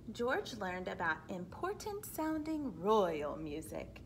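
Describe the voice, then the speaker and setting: A woman reads a children's book aloud at an unhurried pace with a lively, moving pitch. She explains things simply as she goes, like a teacher. There is clear background noise on the recording. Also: low-quality recording. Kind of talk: read-aloud storytelling with explanatory asides for children.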